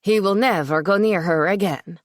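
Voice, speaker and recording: A woman's voice: medium pitch, no room sound, clean studio sound, close to an everyday voice. A woman voicing a stern older man with an Italian accent, head of a crime family. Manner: stern, measured, commanding.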